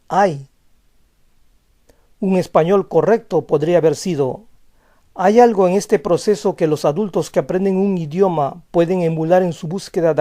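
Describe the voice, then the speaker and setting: Young guy narrating a language lesson, pretty high voice, soft and mellow, slow careful examples. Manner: calm, explanatory, reads example phrases slowly. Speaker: language teacher. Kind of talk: scripted voice-over lesson.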